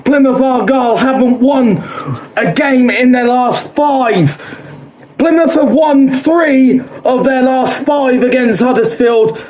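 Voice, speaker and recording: Hoarse high-voiced man giving football tips. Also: slow pace, darkish tone, low-quality recording. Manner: chatty, quotes odds, repeats himself, frequent "uh". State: hoarse, losing his voice. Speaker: football predictions host. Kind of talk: solo football score predictions.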